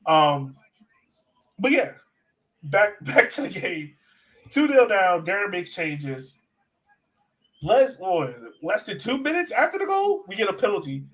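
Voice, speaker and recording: A man's voice: high-pitched, very animated, measured pace, long pauses, fairly bright tone. A man hosting a casual sports fan podcast, talking in a conversational, self-questioning way. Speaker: podcast host.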